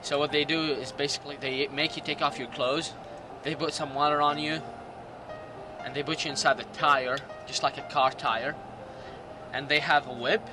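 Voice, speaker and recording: A man in his late teens from Iraq with a Middle Eastern accent gives personal testimony in halting, non-native English. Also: a little high, fairly even intonation. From Iraq, Jordan and Syria. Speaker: documentary interview subject. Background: music.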